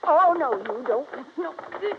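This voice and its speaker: A woman's voice: high voice, expressive, a little slow. An actress playing a scheming, folksy country woman villain in an old-time radio detective drama. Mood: menacing. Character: scheming country woman villain, feigned sweetness turning defiant. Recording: muffled, noisy old radio recording.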